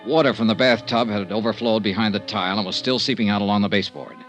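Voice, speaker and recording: Casting: man playing cool American private eye, low voice, dry clipped narration, old-time radio drama with music behind. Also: steady tone, fast talker, a bit bright, lightly acted. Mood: matter-of-fact narration. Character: wry, cool male private investigator narrating his case. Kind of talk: 1940s-50s radio detective acting.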